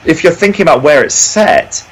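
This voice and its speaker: A man speaking as an interview guest, explaining things in an animated, emphatic, conversational way. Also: a little high, moderate pace, slightly rough, low-quality recording. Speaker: podcast guest, folklorist.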